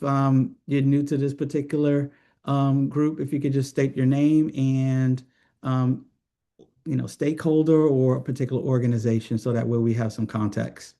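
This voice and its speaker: A man speaking as a committee chair, making formal meeting remarks in a halting, hesitant way. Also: medium pitch, warm tone.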